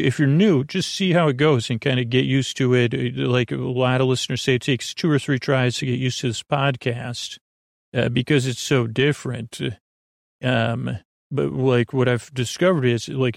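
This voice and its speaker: Gravelly, rambling male bedtime podcast host. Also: mid-range voice, no echo, studio quality. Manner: meandering, halting, often trailing off and restarting; goofy, self-deprecating. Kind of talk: rambling, casual bedtime monologue.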